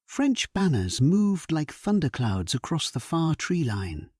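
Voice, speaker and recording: A man giving a solemn, measured and theatrical first-person narration of a scripted dramatic sleep story. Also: on the high side, big pitch swings, a little mellow, slightly rough, no room sound, studio quality.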